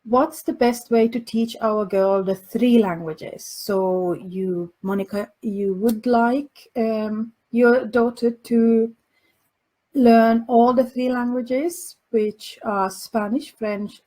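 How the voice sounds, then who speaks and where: A Finland Swedish woman hosting a parenting podcast, answering listener questions slowly with many fillers and restarts. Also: a little high, steady tone, mellow, poor audio. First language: Finland Swedish dialect. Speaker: podcast host and language coach.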